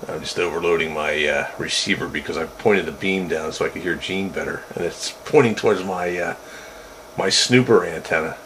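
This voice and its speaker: A man's voice: deep voice, normal speed, a bit bright, low-quality recording. A man chatting casually over two-way radio into a desk microphone, with a wry, joking manner. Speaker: amateur radio operator. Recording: two-way radio with faint static.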